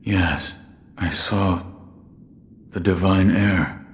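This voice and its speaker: An actor playing a stern, reserved warrior in a video game, speaking tersely and gravely. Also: male voice, low-pitched, steady tone, extremely slow, full character voice. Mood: stoic, pressing. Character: stern, reserved male warrior, stoic and insistent. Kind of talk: dramatic video game voice acting. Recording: long hall-like reverb.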